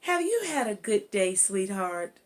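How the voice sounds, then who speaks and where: A woman's voice: medium pitch, big pitch swings, a little slow. A woman voicing an affectionate mother in a storybook read-aloud. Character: affectionate mother, an editor at her office.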